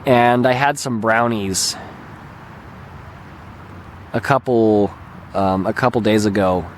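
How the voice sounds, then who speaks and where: A man with a mildly hoarse, slightly raspy voice, talking casually and hesitantly as he rambles through a vlog as its host. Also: lowish voice, steady tone, takes it slowly, a little hiss or hum. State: mildly hoarse, recovering throat. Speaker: video channel host and gamer. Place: open lakeshore beach outdoors. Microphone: handheld camera held by the speaker.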